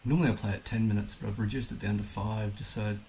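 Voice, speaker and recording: A hoarse man musing hesitantly aloud as he gives casual live commentary on a game he is streaming. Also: fairly low pitch, fairly even intonation, fast talker, darkish tone. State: hoarse, recovering from being under the weather. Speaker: livestream host playing a geography guessing game. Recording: noticeable background hiss.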